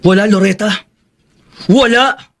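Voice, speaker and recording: An actor playing a bitter, wounded grown son in an emphatic, accusing, melodramatic Filipino radio drama style. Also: male voice, sits high, unhurried, creaky. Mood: bitter, anguished. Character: bitter, wounded grown son rejecting his returned mother. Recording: radio drama with music underneath.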